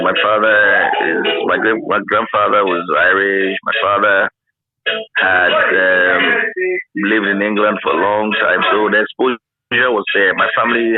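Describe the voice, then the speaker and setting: A man in his fifties with a Ghanaian accent, reminiscing in a casual interview and often repeating phrases for emphasis. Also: fairly low pitch. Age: 50s. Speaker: interview guest, former radio pioneer. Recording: narrow phone-like line.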